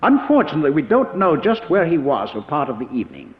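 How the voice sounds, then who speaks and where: An actor playing an authoritative, methodical professor in an old radio drama, measured and determined. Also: male voice, fairly high pitch, huge pitch swings. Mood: decisive. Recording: dull, reverberant vintage radio recording.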